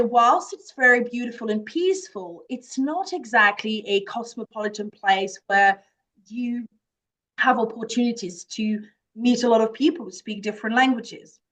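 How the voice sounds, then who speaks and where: A woman's voice: on the high side, fairly bright tone, rough recording. A woman from France with a French accent, earnestly presenting a children's language summer camp from her office. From France, Jura. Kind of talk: presentation to parents.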